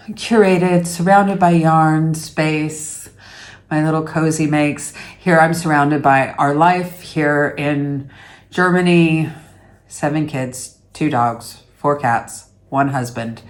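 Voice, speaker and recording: A middle-aged woman from Canada, talking slowly and easily to the camera from her dining room. Her voice sits a little low, and she rambles with fillers and self-deprecating asides. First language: English. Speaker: yarn vlogger host. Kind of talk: casual chat to camera.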